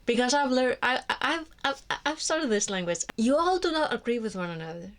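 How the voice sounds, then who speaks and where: A woman's voice: on the high side, animated, a little fast. A woman from Iceland, speaking English as a second language with an Icelandic accent, chatting to camera in a rambling way that wanders off on tangents. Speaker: book vlogger. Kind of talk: casual monologue to camera.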